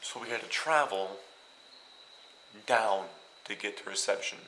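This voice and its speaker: A young man from Iowa rambling and joking as he vlogs from a hotel room, now and then bursting out loud. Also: lowish voice, measured pace, roomy sound, a little hiss or hum, poor audio. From Iowa, United States. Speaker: vlogger. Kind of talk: casual travel vlog.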